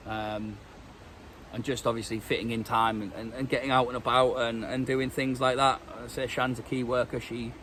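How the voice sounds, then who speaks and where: A man's voice: medium pitch, level tone, normal speed, a bit bright, a little hiss or hum, rough recording. A British man with a stuffy cold, chatting casually in a vlog with lots of ums and restarts. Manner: rambling, many ums and restarts. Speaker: vlogger. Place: outdoors in woodland.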